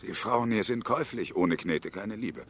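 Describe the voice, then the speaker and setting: An actor playing a bitter, obstinate unemployed engineer around fifty in a German radio drama, brooding and insistent. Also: male voice, fairly low pitch, moderate pace, fairly bright tone, husky. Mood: bitter, taunting. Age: 50s. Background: music.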